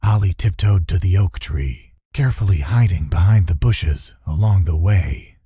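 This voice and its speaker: Deep, husky male storyteller. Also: animated, normal speed, warm tone, no echo, clean studio sound. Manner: suspenseful, theatrical. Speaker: audiobook narrator. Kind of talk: dramatic scripted children's fantasy narration.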